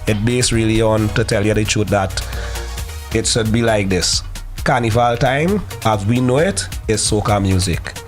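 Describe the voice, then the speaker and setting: A man in a casual panel discussion, speaking haltingly and repeating words as he searches for his point. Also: on the low side, soft background music.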